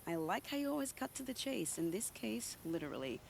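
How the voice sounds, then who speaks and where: Sly female spy, fantasy game: arch, teasing, very animated intonation. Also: medium pitch, a little fast, gravelly, slight background noise. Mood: teasing, wry. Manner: arch, teasing, flourishing delivery. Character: sly, witty, theatrical woman spy in a fantasy land. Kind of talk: video game cutscene acting. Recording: music behind the voice.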